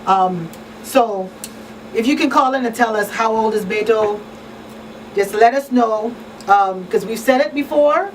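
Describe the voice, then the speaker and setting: A woman's voice: on the high side, measured pace. A middle-aged woman hosting a conversational radio talk show, speaking earnestly and repeating phrases for emphasis. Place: small radio studio. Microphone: boom-arm studio microphone. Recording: distant mic, faint room noise.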